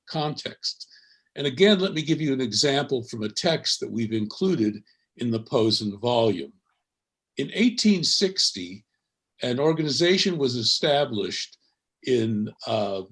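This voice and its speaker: Older male academic, measured lecturing panelist, mid-pitched voice. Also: fairly bright tone. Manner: measured, lecturing, frequent uh fillers. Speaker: history professor.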